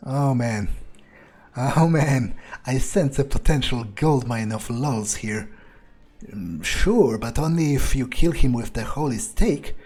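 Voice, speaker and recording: A man's voice: medium pitch, slow pace, darkish tone, husky. A man role-playing his character in a video game playthrough, thinking aloud in a musing, hesitant, sardonic way. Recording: faint background game ambience.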